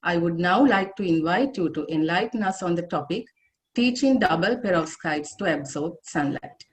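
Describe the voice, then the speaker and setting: A woman moderator reads a formal introduction over a video call. Her voice is slightly low, and her delivery is measured and even. She sounds careful and official throughout. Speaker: symposium moderator introducing the speaker.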